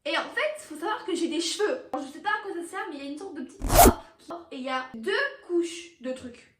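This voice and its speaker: Very high-pitched teenage girl, echoey room. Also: brisk, recorded from a distance, loud music behind the voice. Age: teenager, about 15. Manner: expressive, dramatic, self-mocking, acts out moments. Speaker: YouTuber telling personal anecdotes. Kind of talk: animated casual storytelling to camera.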